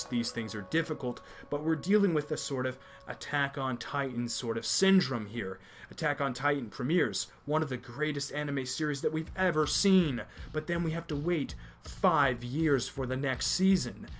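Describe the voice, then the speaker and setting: A young man from America hosting an anime review video, emphatic and opinionated, often repeating his points. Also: on the high side. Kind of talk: enthusiastic review commentary.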